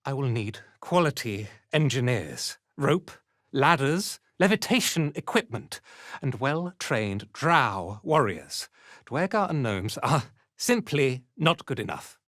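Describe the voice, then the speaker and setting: Cruel male dark elf commander, pompous, disdainful, deliberate pace, dry close sound, fantasy game. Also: mid-range voice, very animated, no echo. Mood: brisk disdain. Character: arrogant, cruel male drow zealot commander. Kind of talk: fantasy video game voice acting.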